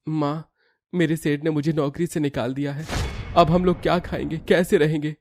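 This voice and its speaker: Man as a higher-voiced, earnest working husband. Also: level tone, normal speed, a little mellow, slight background noise, lightly acted. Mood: dejected. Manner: pleading, earnest. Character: worried young working husband, meek and earnest.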